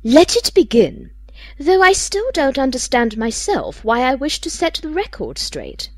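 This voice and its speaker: A woman voicing a secretive, haughty lady who deliberately dictates a gothic confession in an audiobook. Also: sits high, very animated, no echo, full character voice. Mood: brooding, imperious. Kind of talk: dramatic audiobook monologue.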